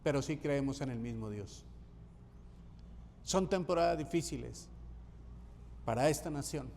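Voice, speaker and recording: A man's voice: mid-range voice, a little slow, vocal fry, darkish tone, big-room sound, slight background noise. A man from Colombia, preaching a sermon as pastor, emphatic and repeating key phrases for rhythm. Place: church sanctuary.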